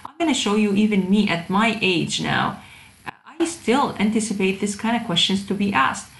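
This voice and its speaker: A woman's voice: mid-range voice, lively intonation, moderate pace, gravelly. Woman lecturing informally in an echoey room. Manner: hesitant, repetitive, addresses students directly. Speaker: university instructor of digital marketing. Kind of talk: informal online lecture over slides. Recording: roomy echo, faint background noise.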